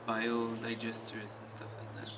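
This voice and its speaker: A man giving a casual, understated personal account, halting as he thinks aloud. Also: fairly low pitch, completely flat delivery. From Israel. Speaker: documentary interviewee. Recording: noisy ambience, distant microphone.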